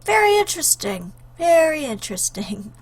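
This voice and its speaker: A woman's voice: high voice, sing-song, moderate pace, a little hiss or hum, rough recording. A woman hosting a game playthrough, commenting casually and playfully and reading lines aloud. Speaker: gaming video host.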